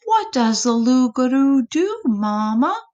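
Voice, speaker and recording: A woman's voice: high-pitched, sing-song, slow pace, a little mellow, dull audio, pitched above the performer's own voice, heavily acted. A woman voicing an innocent, curious little boy possum in a storybook, wide-eyed and full of questions.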